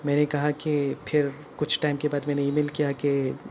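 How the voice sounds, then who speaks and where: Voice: mid-range voice, monotone, moderate pace, darkish tone. An Indian vlogger explaining a personal customer complaint in narration that mixes in English phrases. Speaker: customer narrating own complaint as video creator. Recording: clear background noise.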